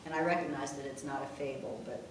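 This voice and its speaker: An older woman reading rhymed verse aloud in a measured, deliberate way, with chatty asides. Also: a bass voice, fairly even intonation. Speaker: daughter reading her own birthday poem. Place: echoing room at a family gathering. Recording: very echoey room, distant mic, faint noise.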